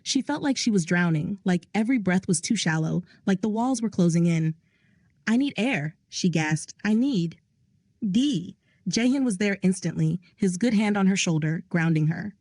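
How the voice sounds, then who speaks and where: A woman reading scripted story narration in an even, descriptive way. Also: lowish voice, moderate pace, few pauses, dry recording.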